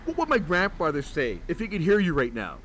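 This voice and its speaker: An actor playing a cocky, flirtatious monster hunter who is sarcastic and pushy, in a comedy horror audio drama. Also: male voice, fairly high pitch, wildly swooping pitch, brisk, a bit bright, a little hiss or hum. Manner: sarcastic, pushy, smooth-talking.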